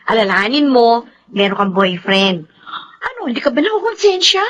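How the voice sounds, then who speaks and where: A woman's voice: fairly high pitch, sing-song, moderate pace, a bit bright, a little hiss or hum. An actress playing a frank young female confidante in a Filipino radio drama, exclaiming and questioning. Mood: alarmed, challenging.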